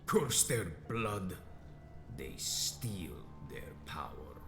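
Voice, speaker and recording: A menacing old vampire elder speaks in an extremely deep, grave voice. His formal, contemptuous lines echo around him over game music, as in a dark video game. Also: big pitch swings, exaggerated voice. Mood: bitter, vengeful. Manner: slow, theatrical, archaic formal phrasing. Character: ancient vengeful male vampire sect elder, proud, menacing, contemptuous.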